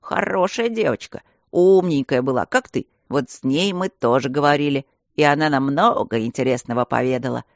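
A woman's voice: low voice, gravelly, no room sound, muffled recording. A woman voicing a fussy, doting old male stone guardian in an audiobook, lecturing primly like a schoolmistress. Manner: prim, coddling, schoolmistress-like lecturing.

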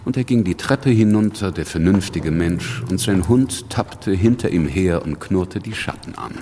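An actor in a German radio drama playing a worldly, pragmatic revolutionary politician, speaking and recounting in a measured, dry, wry way. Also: male voice, low-pitched, lively intonation, long reverb tail, noisy background. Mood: reflective. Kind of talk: German radio drama, first-person narration and dialogue.

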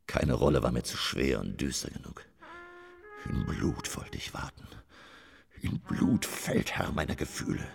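An actor playing a weary, grief-stricken old stage actor in a poetic radio drama, slow, brooding and breathy. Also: male voice, heavily acted. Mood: dark anguish. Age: older. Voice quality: gravelly, breathy low voice. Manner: slow, theatrical, brooding delivery.

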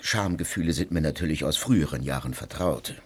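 An actor in a radio play as a proud, prickly intellectual man, sardonic and unrepentant, with a husky voice. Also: extremely low pitch, fairly quick.

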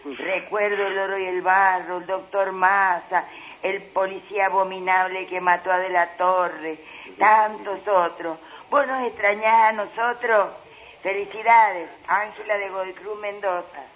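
A woman leaving a slow, affectionate recorded phone message for a radio show. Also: mid-range voice, steady tone. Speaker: caller. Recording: muffled phone message with faint hiss.

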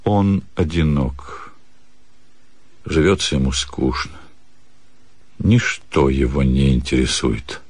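A man reading a literary story theatrically, with expressive, measured phrasing and dramatic pauses. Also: rumbling low, husky, dry recording. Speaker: actor reading a short story. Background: music.

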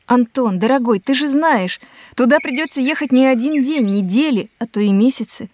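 An actress playing a caring, sensible woman pleading in an audio drama, earnest and gently reproachful. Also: high voice, expressive, moderate pace, no room sound. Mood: earnest, dissuading.

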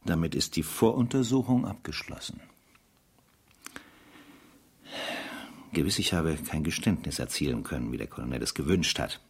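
Stern interrogator with sweeping, emphatic intonation. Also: male voice, extremely low pitch, animated, brisk, creaky, heavily acted. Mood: cold, official. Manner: formal, insistent, sardonic, emphatic. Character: stern male French military interrogator, accusing and relentless. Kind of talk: German radio play acting.

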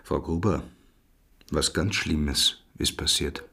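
A sceptical police interrogator in a radio drama. His voice is low and rough-edged, with an Austrian accent. He questions in a dry, measured and persistent way. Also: sharp tone, heavily acted. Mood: grave. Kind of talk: naturalistic radio drama acting.

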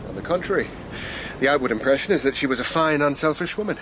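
An actor playing a persistent doctor turned amateur investigator in a radio drama, measured and questioning. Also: male voice, on the high side, expressive, a bit bright, slight background noise, rough recording. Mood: inquisitive.

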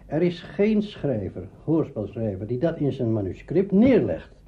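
An older man, a veteran radio actor, reminiscing and explaining deliberately in an interview. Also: mid-range voice, big pitch swings. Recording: dull lo-fi archive recording, faint noise.

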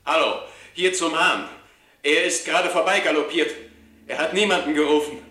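A man acting an excited lookout in a radio drama, loudly calling hurried reports over an echoing loudspeaker relay. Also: fairly high pitch. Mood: excited, urgent.

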